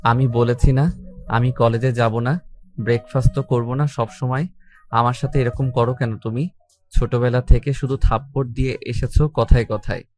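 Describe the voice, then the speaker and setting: A man's voice: mid-range voice, steady tone, normal speed, a little mellow, close to an everyday voice. A man playing a sulky, mischievous teenage boy, secretly in love, petulant and complaining, in an audio love story. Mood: sulky, hurt.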